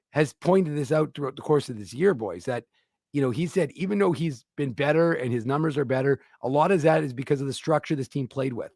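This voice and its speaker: A man's voice: a little high, no room sound, studio quality. A man co-hosting a sports talk radio show, breaking down a playoff series in a rambling way and often restarting his sentences. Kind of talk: casual sports talk analysis.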